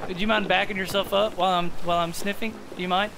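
A man's voice: high-pitched, fairly even intonation, fast, vocal fry, noisy background. A young man from Alabama, streaming a pirate game with friends, teasing them and calling everyone sir, on a headset mic. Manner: teasing, mock-formal, calls everyone sir. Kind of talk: casual gaming chat with friends. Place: home streaming room.

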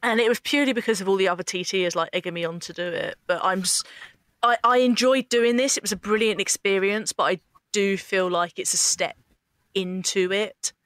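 A woman's voice: mid-range voice, fairly quick, a bit bright, no echo. A woman talking casually about her work as a writer and actor. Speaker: scriptwriter and actor. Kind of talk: casual behind-the-scenes chat.

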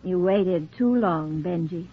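An actress playing a proud, flustered, long-suffering middle-aged society wife in a 1940s radio play, refined and anxious in manner. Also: female voice, on the low side, slow, slightly rough. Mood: resigned, hurt. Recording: muffled, scratchy 1940s radio recording.

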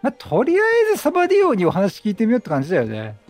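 A man giving casual, chatty game commentary, excitable, repeating phrases and reacting aloud. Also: sits high, rapid-fire, no echo. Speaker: game streamer. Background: game music.

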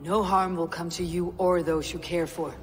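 Woman playing a low-voiced, stern Viking warrior woman. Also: fairly even intonation, slight background noise, lightly acted. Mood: steady, reassuring. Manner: measured, blunt, commanding. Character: stern, battle-hardened Viking warrior woman, commanding and blunt. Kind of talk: video game cutscene acting. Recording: reverberant hall sound under music.

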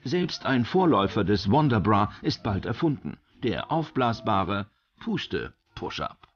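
A man's voice: fairly low pitch, very animated, measured pace, muffled recording. A man narrating a documentary from a script.

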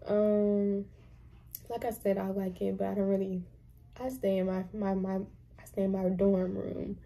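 A woman's voice: mid-range voice, flat delivery, unhurried, gravelly, mellow. A young woman of about twenty, a college student, chatting casually to camera in a small bedroom, rambling with lots of fillers and restarts. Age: young adult.

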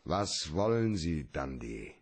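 A hard-boiled detective in a radio crime drama, very low and husky. He is sardonic and evasive, with terse lines that still swing widely in pitch. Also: big pitch swings, takes it slowly, full character voice. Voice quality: husky, rough. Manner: laconic, dry, evasive. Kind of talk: German radio play acting.

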